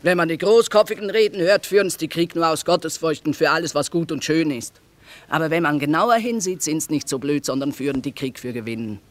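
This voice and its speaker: A woman's voice: low-pitched, fairly quick, natural voice. An actress plays a middle-aged woman hardened by war, a mother who trades to survive. She speaks bluntly, with earthy, sardonic bite. The delivery is stage-like radio drama. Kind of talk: theatrical radio drama acting.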